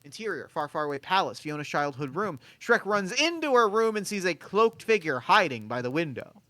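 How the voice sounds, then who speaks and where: A man narrating stage directions at a live table read in a brisk, plain delivery. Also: sits high, big pitch swings, sharp tone, no echo.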